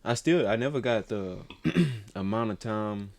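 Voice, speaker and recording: A man's voice: lowish voice, lively intonation, a little slow, a little mellow, dry recording. A man in his twenties hosting a casual podcast chat, rambling and swearing freely with lots of fillers and restarts. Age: 20s. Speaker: podcast host.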